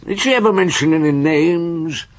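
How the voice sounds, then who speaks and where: An actor playing a gruff, world-weary chief police inspector who questions people with terse authority in a radio drama. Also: male voice, fairly high pitch, normal speed, crowd noise, lightly acted. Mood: probing, stern.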